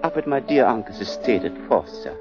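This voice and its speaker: Casting: urbane male lover, animated mid voice, old radio play, muffled, with music underneath. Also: on the low side, brisk. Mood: urbane, casual. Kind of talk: 1940s radio drama acting.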